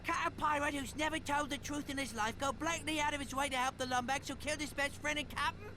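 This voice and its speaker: A man's voice: very high voice, steady tone, fast, background noise. A man voicing a boastful, scheming robot pirate first mate in a video game, with theatrical, jaunty pirate talk. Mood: gleeful, boastful. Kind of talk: video game cutscene acting.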